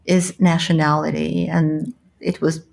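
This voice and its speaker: A woman's voice: sits low, level tone, unhurried, creaky, darkish tone. A middle-aged woman from Ukraine, a native Russian speaker, telling her story as a guest physician in a podcast interview. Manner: candid, storytelling.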